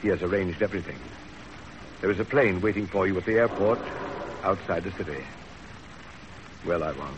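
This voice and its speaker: An actor playing a dignified, authoritative older Chinese father in a 1930s radio drama, formal and deliberate. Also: low-pitched, background noise, close to an everyday voice. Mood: grave. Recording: dull old radio recording.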